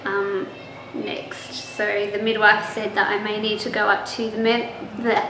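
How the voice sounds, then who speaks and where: A woman's voice: mid-range voice, little pitch movement, unhurried. A young woman giving a casual pregnancy vlog update, chatty with lots of ums and restarts, and she is losing her voice. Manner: chatty, many ums and restarts, laughs easily. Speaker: pregnancy vlogger. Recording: echoey room with faint noise.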